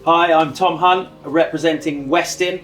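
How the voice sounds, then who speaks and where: A man's voice: a little high, fairly even intonation, a little slow, a bit bright. A man from near London answering interview questions in a modest, easygoing way. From near London, England. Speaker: angler competitor. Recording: distant mic, faint music behind.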